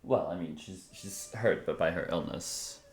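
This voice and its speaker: Very deep-voiced man streaming a game. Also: measured pace, echoey room, slight background noise. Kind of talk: casual live gameplay reactions.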